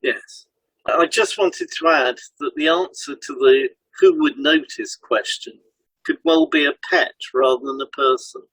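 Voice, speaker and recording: A man's voice: on the high side, huge pitch swings, measured pace, creaky, fairly bright tone, slightly rough, no room sound, rough recording. A man co-hosting an educational podcast, reading scripted explanations in an instructive way. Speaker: podcast co-host and hypnotherapy trainer. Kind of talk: scripted educational podcast dialogue, read aloud.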